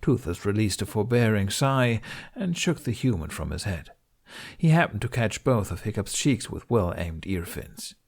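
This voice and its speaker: Low-voiced man narrating a fantasy audiobook. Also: very animated, moderate pace. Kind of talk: expressive scripted fantasy narration.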